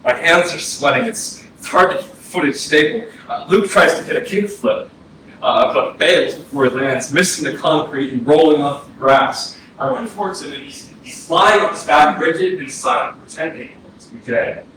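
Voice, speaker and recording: A man's voice: fairly high pitch, lively intonation, normal speed, natural voice. Echoey, distant young ghost boy played by man. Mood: vivid recollection. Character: dead fourteen-year-old boy's ghost, dreamy, wistful would-be filmmaker. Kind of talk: staged reading of a play, monologue. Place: indoor arts center stage, recorded from afar. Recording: very echoey, distant hall, clear noise, processed.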